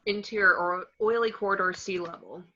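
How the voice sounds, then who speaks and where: A woman's voice: mid-range voice, steady tone, brisk, sharp tone. A woman reading out screenplay scene descriptions as the narrator of a script table read. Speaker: reader of the action description. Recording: dull, lo-fi sound.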